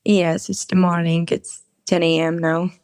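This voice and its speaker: A woman's voice: on the low side, little pitch movement, measured pace, darkish tone, clean tone, no room sound, clean studio sound. A woman in her twenties from Morocco, speaking English as a second language, answers interview questions hesitantly, often searching for words. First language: not English. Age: 20s. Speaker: interview guest. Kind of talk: conversational interview.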